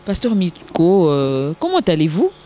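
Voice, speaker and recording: A woman, hosting a formal television interview in a hesitant way, with many filler pauses. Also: fairly low pitch, huge pitch swings, dark tone, a little hiss or hum. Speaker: TV show host.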